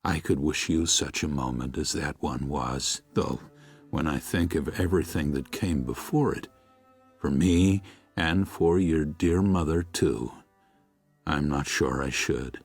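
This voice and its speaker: A man playing a gentle, reflective preacher of seventy-six in a first-person audiobook, with a measured, husky, aged voice. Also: very deep voice, no echo, faint music behind, full character voice. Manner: measured, tender, musing. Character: gentle, reflective 76-year-old Midwestern preacher writing to his son. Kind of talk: first-person audiobook narration with acted dialogue.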